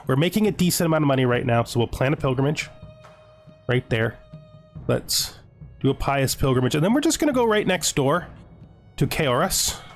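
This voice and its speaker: A man giving casual video game commentary, thinking aloud as he deliberates his choices. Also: medium pitch, big pitch swings. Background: music.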